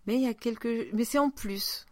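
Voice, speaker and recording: A woman talking casually as a podcast host in relaxed conversation. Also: a little high, moderate pace, no echo. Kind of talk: casual chat.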